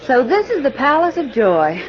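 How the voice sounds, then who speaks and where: An actress playing a wry, sharp-witted girlfriend of a detective in an old-time radio drama, teasing and sardonic. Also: high voice, big pitch swings, normal speed, some chatter behind. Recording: dull old radio recording, background voices.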